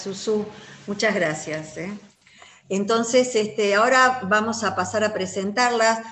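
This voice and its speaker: A woman introduces panelists at a measured pace, with fillers here and there. Her voice is mid-pitched. It sounds roomy and echoey, like a video call from a bare room. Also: fairly bright tone, slight background noise. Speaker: moderator. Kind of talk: introducing speakers at an online panel.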